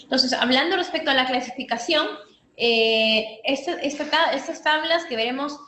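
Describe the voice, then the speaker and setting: Bright-voiced woman lecturing in an echoey room. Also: high-pitched, moderate pace. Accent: Peruvian Spanish. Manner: explanatory, many restarts and fillers. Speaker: physician lecturer. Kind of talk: medical teaching talk. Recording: echoey room with faint noise.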